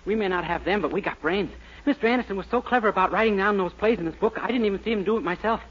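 Fast, high-voiced naive young radio goof. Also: male voice, a bit bright, breathy edge. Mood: cocky. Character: naive, cocky, bumbling young man posing as doctor. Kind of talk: 1940s radio sitcom acting. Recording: muffled, very noisy 1940s radio recording.

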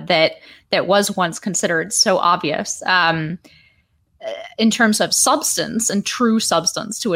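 A woman interviewing a guest, asking long, halting questions full of pauses and "um"s. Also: lowish voice, fairly bright tone. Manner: halting, with many "um"s and restarts, building long questions. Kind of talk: political interview.

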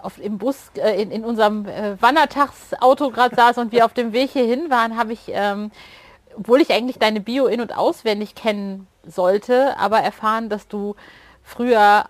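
Female podcast co-host, middle-aged, slightly high voice, casual interview chat, close dry sound. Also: normal speed, dry recording.

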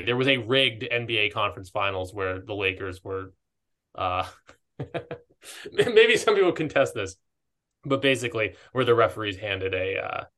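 A man's voice: fairly low pitch, fairly bright tone. An American man co-hosting a podcast in casual conversation, often hesitating and interrupting himself as he goes. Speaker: podcast co-host.

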